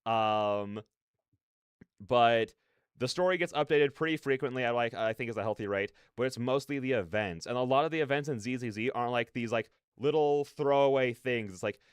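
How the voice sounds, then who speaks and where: A man's voice: mid-range voice, clear, resonant tone, no room sound, clean studio sound. Clean-voiced man chatting on a livestream. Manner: animated, slangy, rambling opinions. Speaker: livestreamer, reaction host. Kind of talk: casual livestream chat with viewers.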